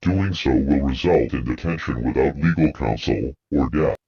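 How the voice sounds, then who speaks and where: A man's voice: very deep voice, normal speed, husky, highs cut off. A man's synthetic voice reading a scripted emergency bulletin in a formal, stern way. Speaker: emergency alert announcer.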